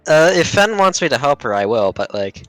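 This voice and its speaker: A man's voice: medium pitch, sing-song, fairly quick, fairly bright tone, no room sound, soft background music. A man playing in an online tabletop game, chatting casually and explaining himself a little hesitantly.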